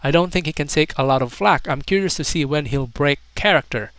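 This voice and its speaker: Guy with a kinda high clear voice reading comments, amused and mocking, dry close sound. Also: brisk, fairly bright tone, no echo. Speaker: video commentator.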